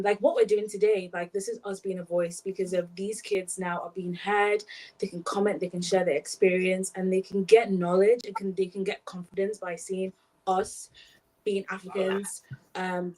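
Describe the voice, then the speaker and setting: A woman's voice: medium pitch, level tone, normal speed, warm tone, a little hiss or hum. Distant young woman, thoughtful panel talk. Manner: reflective, hesitant, says sorry when cutting in. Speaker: panel guest. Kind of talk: conversational panel discussion. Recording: somewhat distant from the mic.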